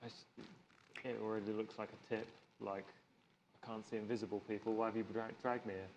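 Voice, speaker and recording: A man's voice: lowish voice, flat delivery, a little fast, a little mellow, lo-fi sound. A man bantering in character as a player at a live tabletop game, blunt and flippant. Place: live stage at a gaming convention. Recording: echoey, noisy live convention hall.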